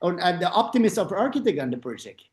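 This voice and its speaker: Halting male architect in a roomy space. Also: sits high, very animated. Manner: halting non-native English, many restarts, acts out quoted dialogue. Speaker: architect and interview guest. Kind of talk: conversational interview. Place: lives in Austria.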